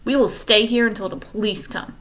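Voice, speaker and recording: A woman's voice: mid-range voice, fairly even intonation, fairly quick, fairly bright tone, close to an everyday voice. A woman reading aloud and voicing a frightened, defiant teenage boy. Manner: tense hoarse whispering, then defiant. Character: frightened angry teen boy.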